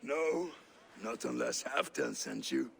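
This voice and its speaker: An actor playing a groggy, sardonic man in a game cutscene, with a creaky voice and a drawling, theatrical manner. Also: medium pitch, wildly swooping pitch, slow, fairly bright tone, full character voice. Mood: wry. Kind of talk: video game cutscene acting.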